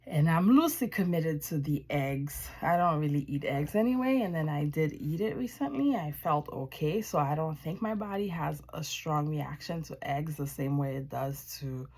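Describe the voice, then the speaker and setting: A woman from Haiti shares a personal testimony with viewers in a reflective, deliberate way, often pausing mid-sentence. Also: low voice, lively intonation, darkish tone, husky. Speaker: wellness practitioner giving testimony.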